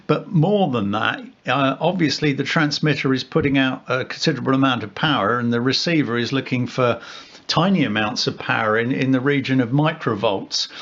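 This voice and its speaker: A man giving an informal, unscripted equipment demonstration as a radio hobbyist, explaining haltingly and correcting himself as he goes. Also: medium pitch. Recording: dull sound with loud background noise.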